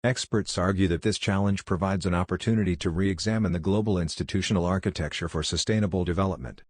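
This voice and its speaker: A man reads a scripted passage aloud as an exam practice model. His voice is deep and he moves along quickly. The recording is dry, without room sound. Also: dry recording.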